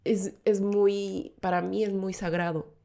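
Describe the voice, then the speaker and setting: Korean-born woman chatting, quick to laugh. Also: medium pitch, flat delivery, gravelly, dark tone. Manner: thoughtful, laughs often, restarts words. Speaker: guest. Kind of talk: casual podcast conversation.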